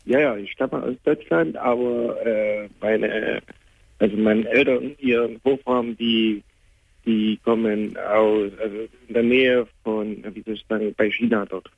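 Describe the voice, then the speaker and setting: A man in his twenties calling a radio phone-in, subdued and grieving, speaking haltingly with many restarts. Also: lowish voice, fairly even intonation, dry recording. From Germany. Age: 20s. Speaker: caller. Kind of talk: call-in radio conversation. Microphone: telephone. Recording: tinny bad phone line.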